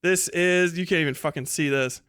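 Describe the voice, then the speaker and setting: An American man with a fairly high, bright voice talks to his livestream as host. His delivery is casual and rambling, with irreverent asides and plenty of swearing. The sound is close and dry. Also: normal speed, clean tone, no echo. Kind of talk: casual profane livestream monologue.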